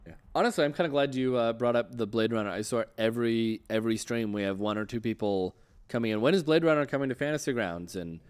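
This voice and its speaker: A man's voice: mid-range voice, quick pace, clean tone. A man hosting a casual livestream interview, informal and encouraging as he puts questions to a guest. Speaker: host interviewer.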